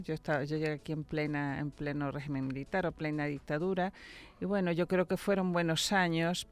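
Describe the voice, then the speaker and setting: A woman from Venezuela, a political scientist, gives measured, reflective answers in a radio studio interview and often repeats words as she thinks. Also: sits low, clear, resonant tone.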